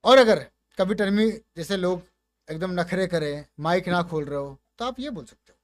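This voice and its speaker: A man with an Indian accent and a high-pitched voice presents gaming content in a casual way. His talk is informal and slangy, switching between Hindi and English. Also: normal speed. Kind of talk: casual commentary with demonstrations.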